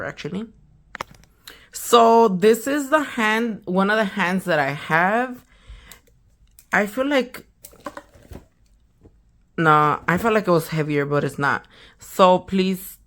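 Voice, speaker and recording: A young woman hosting a nail channel, chatting casually and slangily while unboxing, a little hoarse from a sore throat. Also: lowish voice, a bit bright. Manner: chatty, slangy, trailing off to a whisper. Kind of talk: casual product unboxing chat.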